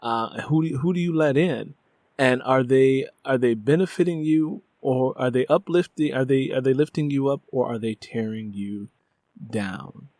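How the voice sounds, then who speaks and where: A man's voice: medium pitch, normal speed, darkish tone, no echo, studio quality. A man hosting a book interview podcast, talking conversationally and reflectively, often repeating and rephrasing for emphasis.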